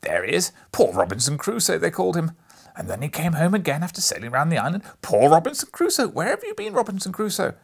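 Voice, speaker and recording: Brief: old miser, as a male reader puts him on, husky, high and loud bursts, breathy whispers, near tears. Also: flat delivery, fairly quick, long pauses, full character voice. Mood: excited. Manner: between laughing and crying, swings to whispers. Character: old miserly man, softened, excited by memories. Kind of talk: storybook reading.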